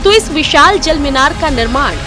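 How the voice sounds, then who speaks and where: A woman news narrator with an Indian accent reads scripted reports in a high voice. Clear music plays underneath her voice-over. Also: animated, normal speed.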